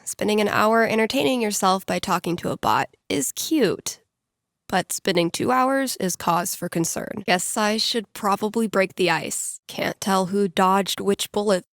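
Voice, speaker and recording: A woman acts a geeky young woman from a video game. She talks in a casual, slangy way with a dry, sardonic edge and a playful streak. The sound is close and dry, with a slight creak in the voice. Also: medium pitch, a little slow, dry recording, close to an everyday voice. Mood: wry, musing. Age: young adult. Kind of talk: naturalistic game voice acting.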